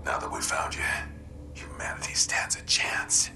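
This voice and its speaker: An actor playing a secretive, intense doctor in a video game, hushed, breathy and urgent. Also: male voice, normal speed, whispered, music in the background, close to an everyday voice. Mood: hushed, reassuring. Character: secretive, intense male doctor seeking a cure.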